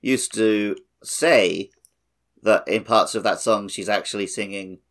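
A man's voice: on the low side, a little slow, a bit bright. A man co-hosting a gaming podcast, talking casually in a wry, joking manner. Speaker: podcast co-host.

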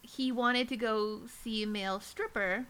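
A woman's voice: a little high, fairly even intonation, a little slow, fairly bright tone, clear, resonant tone. A woman co-hosting a podcast, telling casual comedic anecdotes in a rambling, self-deprecating way. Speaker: podcast co-host.

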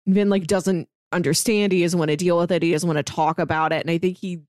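A woman's voice: fairly low pitch, steady tone, quick pace, a little mellow, dry recording, clean studio sound. A woman co-hosting a podcast, chatting casually about comic books and retelling scenes informally with paraphrased dialogue. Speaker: podcast co-host. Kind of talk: casual comic-book discussion.

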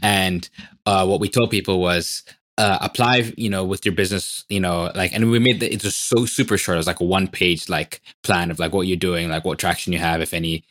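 A man in his thirties, from Tanzania, talks about his startup in a podcast interview. His voice sits very low, and he speeds along, packing his sentences with "like" and "you know". The sound is close and dry. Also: steady tone, a bit bright, dry recording. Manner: fast, filler-heavy, enthusiastic. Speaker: startup founder.

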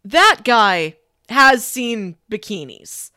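A woman's voice: on the high side, very animated, very slow, pushed and bright, clear, resonant tone, dry recording, studio quality. A woman co-hosting a podcast in casual, playful, conversational chat. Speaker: podcast co-host.

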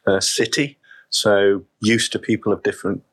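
Low husky man, faint Welsh accent. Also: little pitch movement. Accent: faint Welsh, mostly neutral. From South Wales. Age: middle-aged. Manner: measured, with frequent restarts and fillers. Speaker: chief executive guest. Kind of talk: reflective interview talk.

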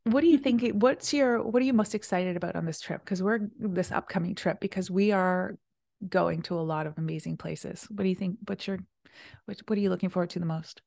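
Female retreat host, casual and enthusiastic, gravelly voice, drifts into soft near-whispers. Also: on the low side, fairly quick, no echo, studio quality. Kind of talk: casual conversation.

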